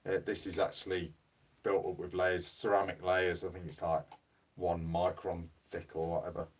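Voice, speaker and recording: Male streamer, fairly low voice, hoarse and fading, loose rambling phone-mic chat. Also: level tone, normal speed, a little hiss or hum. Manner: rambling, repeats words. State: losing his voice, coughing. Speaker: livestream host. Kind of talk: casual livestream chat reading comments. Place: small home room. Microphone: mobile phone.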